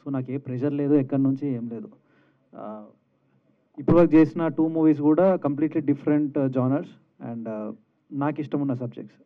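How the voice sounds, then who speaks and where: A man's voice: medium pitch, moderate pace. A man giving modest, somewhat hesitant answers as a film actor at a press event. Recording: thin, phone-like event audio.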